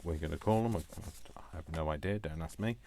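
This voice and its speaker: A low-pitched man chats his way through a hands-on craft demonstration. He rambles easily, poking fun at himself with little jokey asides. There is noticeable noise on the recording. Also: brisk. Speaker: craft tutorial presenter. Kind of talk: casual, chatty craft demonstration. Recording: noticeable background noise, small clicks.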